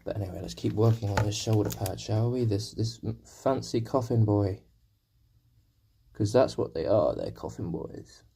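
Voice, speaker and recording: A man's voice: lowish voice, expressive, normal speed, mellow, slight background noise. A young man with a sore throat, chatting casually to his stream viewers as he paints, rambling and poking fun at himself. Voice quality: gravelly, husky. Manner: rambling, self-deprecating, talking to viewers. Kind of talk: casual live-stream chat while painting.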